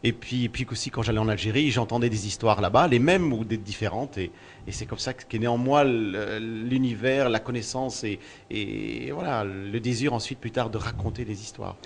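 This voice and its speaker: A man's voice: lowish voice, moderate pace, creaky, a little hiss or hum. A man born in Belgium who works as a storyteller, answering interview questions in a thoughtful way and often repeating words while he looks for the next one. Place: outdoors at a festival.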